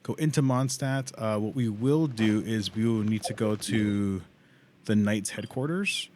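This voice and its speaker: A man doing casual livestream commentary on a game, informal and sweary, thinking out loud as he plays. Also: lowish voice, unhurried, background noise. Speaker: live game streamer.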